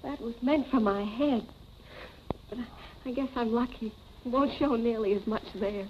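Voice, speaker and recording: A woman's voice: fairly high pitch, moderate pace, a little hiss or hum, natural voice. An actress in her thirties playing a wry, wary society wife with a dry, poised manner in a 1940s Hollywood film. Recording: muffled vintage film soundtrack with music.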